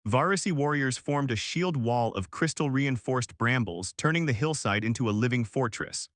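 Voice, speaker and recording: Guy narrating a sci fi war story, formal measured read, medium pitch, super dry sound. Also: expressive, fairly bright tone, no room sound. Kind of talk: scripted narration of a science fiction war story.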